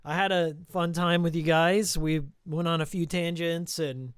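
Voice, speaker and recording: Male game streamer, casual and rambling, slightly hoarse yet smooth, higher-pitched, dry close sound. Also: no room sound. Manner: relaxed, rambling, reading out viewer names slowly. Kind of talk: casual live-stream chat.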